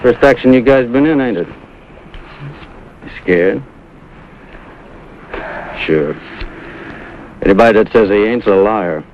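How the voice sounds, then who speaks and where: An actor playing a tough, blunt, seasoned American Marine with terse, slangy speech in a 1950s war drama. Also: male voice, on the low side, slow pace, pauses often. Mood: blunt, grim. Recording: muffled 1950s TV soundtrack, faint noise.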